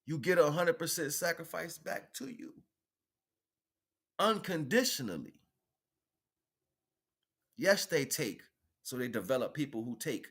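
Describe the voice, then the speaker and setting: A man's voice: on the high side, expressive, measured pace, vocal fry, pauses often, a bit bright, slightly rough. Man preaching self-worth in an improvised monologue. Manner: preaching, emphatic repetition, colloquial phrasing. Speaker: livestream host, motivational speaker. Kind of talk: impassioned improvised motivational monologue.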